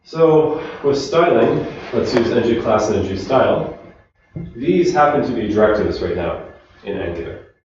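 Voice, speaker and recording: Casual finnish guy talking tech at a meetup, mid pitch, roomy, lo-fi, faint noise. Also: moderate pace. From Finland. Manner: relaxed, chatty with the audience. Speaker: conference speaker. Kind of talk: technical meetup talk. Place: large echoey meetup room. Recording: distant, roomy, dull room recording.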